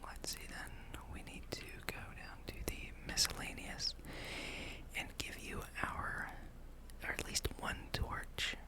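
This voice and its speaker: Whispering ASMR gamer, breathy and unvoiced. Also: takes it slowly. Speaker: gaming ASMR host. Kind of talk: whispered ASMR gameplay ramble.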